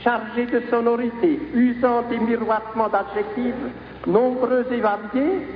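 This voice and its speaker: A man's voice: very high voice, little pitch movement, normal speed. A man delivering a formal public lecture. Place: festival lecture venue. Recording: echoey hall, phone-like noisy archive recording.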